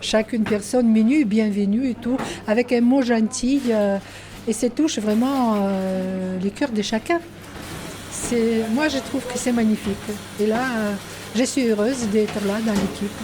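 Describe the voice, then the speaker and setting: A woman from Ukraine, a volunteer, speaking heartfelt French with many pauses and fillers. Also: fairly high pitch, noisy background. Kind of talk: interview answers. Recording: busy room with crowd chatter.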